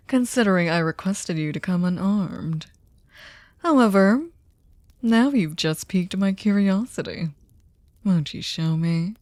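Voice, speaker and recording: A woman's voice: medium pitch, a little mellow, dry recording. A woman playing a sadistic, seductive aristocratic vampire in an ASMR audio drama, teasing and mock-polite, often slipping into a whisper. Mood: coolly curious.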